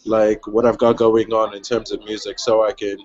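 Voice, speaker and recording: A man's voice: on the low side, level tone, moderate pace, a little mellow, muffled recording. Laid-back young man, slangy and chatty. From Switzerland. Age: young adult. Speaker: guest rapper. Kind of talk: casual chat.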